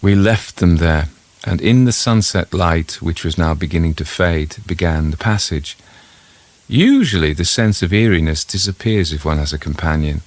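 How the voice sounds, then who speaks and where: A man reading a classic ghost story in the first person, with a measured literary delivery. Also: low-pitched, animated, no echo.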